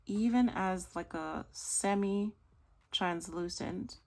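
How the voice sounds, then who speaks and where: Woman host, mid-pitch, gravelly fry, chatty tutorial delivery, a little hoarse. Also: takes it slowly, a little mellow. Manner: chatty, gushing, self-correcting. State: slightly hoarse, losing her voice. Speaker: nail art tutorial host. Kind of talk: casual tutorial chat.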